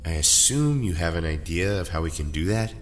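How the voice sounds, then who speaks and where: An actor playing a proud young superhero rival in an audio drama, cocky and confrontational. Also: male voice, low-pitched, animated, fairly quick, in a large hall. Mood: skeptical.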